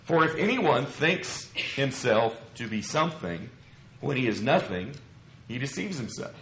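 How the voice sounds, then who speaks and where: Man, mid-range voice, slightly scratchy from a cough, measured earnest sermon delivery, explanatory, echoey indoor space. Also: medium pitch, very animated, fairly bright tone. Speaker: preacher. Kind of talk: expository sermon. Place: church pulpit, roomy indoor space. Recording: echoey room.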